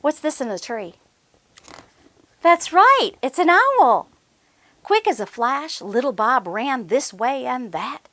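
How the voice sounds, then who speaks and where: A woman's voice: sits high, very animated, takes it slowly, pauses often, sharp tone, no room sound. A woman hosting a children's story time, reading aloud and chatting encouragingly with simple questions for young listeners. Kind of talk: children's read-aloud and chat.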